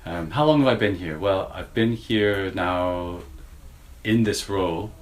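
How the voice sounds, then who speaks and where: A man in his forties from the United States with a slightly Scottish-tinged American accent, answering interview questions thoughtfully and with many pauses. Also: sits low. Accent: American with Scottish influence. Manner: thoughtful, hesitant with many fillers. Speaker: university lecturer.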